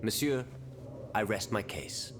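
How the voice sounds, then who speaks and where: A man's voice: lowish voice, animated, takes it slowly. An actor playing a principled, self-assured French revolutionary statesman in a game cutscene, with a formal, declamatory delivery. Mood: earnest, controlled. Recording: loud music under the voice. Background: loud music.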